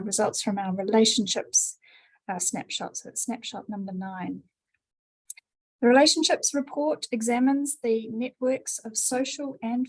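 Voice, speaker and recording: A woman's voice: mid-range voice, low-quality recording. A woman researcher presenting findings, hesitant with many fillers. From New Zealand.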